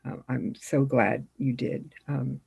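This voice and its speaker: A woman's voice: extremely low pitch, level tone, slow pace, creaky, dark tone, slightly rough. A woman in the audience asking a long, hesitant question full of fillers. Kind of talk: casual conversation.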